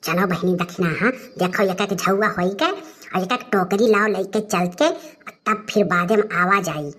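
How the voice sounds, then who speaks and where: A woman's voice: medium pitch, normal speed, a bit bright, slightly rough. Woman acting a brazen Awadhi village elder sister. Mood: scheming. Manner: earthy, blustering. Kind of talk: rustic comedy cartoon dialogue.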